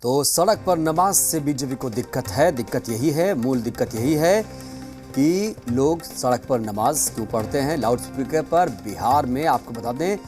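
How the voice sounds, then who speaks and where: A man's voice: medium pitch, animated, moderate pace. A man presenting television news as an anchor, with an emphatic delivery that repeats key phrases. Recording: music bed behind voice. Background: news music.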